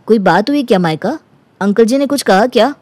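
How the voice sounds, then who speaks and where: A woman voicing an eager, kind-hearted young man in love, earnest and reassuring, in an animated story. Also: on the high side, fairly quick, no room sound. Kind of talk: animated Hindi story character acting.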